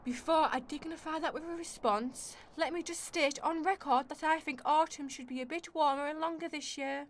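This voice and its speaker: A woman's voice: high-pitched, fairly even intonation, normal speed, few pauses, a little hiss or hum. A woman playing Winter as a sulky, self-centred season spirit, snide and dramatic, in an amateur radio drama.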